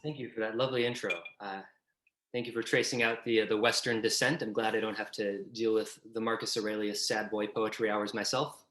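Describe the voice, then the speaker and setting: Roomy, echoey video-call sound; male guest lecturer from the US, lowish voice, conversational explainer. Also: level tone, a little fast, a bit bright. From United States.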